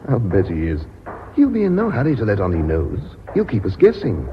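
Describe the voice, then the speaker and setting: A shrewd, self-assured British businessman in an old radio drama, on a muffled, noisy recording. He speaks formally and deliberately, with a lively rise and fall in his articulate voice. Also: lowish voice. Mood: confident. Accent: British English. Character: shrewd, self-assured company man scheming against a traitor.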